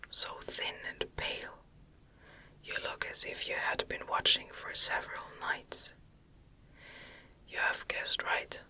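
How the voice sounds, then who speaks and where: A woman whispering an ASMR reading of a classic novel in a soft, careful, deliberate delivery, close to the microphone. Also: low-quality recording. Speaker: audiobook narrator.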